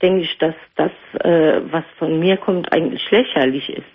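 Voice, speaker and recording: A woman's voice: low voice, normal speed, breathy edge. A woman in her forties calling into a radio show, speaking hesitantly. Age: 40s. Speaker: caller. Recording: phone line on radio.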